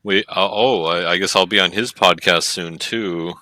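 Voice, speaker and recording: A man with a very deep voice co-hosting a casual podcast. He talks haltingly, restarting his sentences, with a bright, forceful tone. The sound is close and dry, with no room in it. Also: dry recording. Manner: halting, hesitant, many fillers and restarts. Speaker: podcast co-host. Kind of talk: casual chat.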